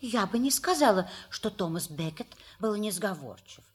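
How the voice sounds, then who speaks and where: A woman's voice: medium pitch, animated, moderate pace, husky. An actress playing a scatterbrained, genteel widowed mother in a theatrical audio comedy, naive and plaintive in manner. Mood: flustered. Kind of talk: theatrical radio play acting.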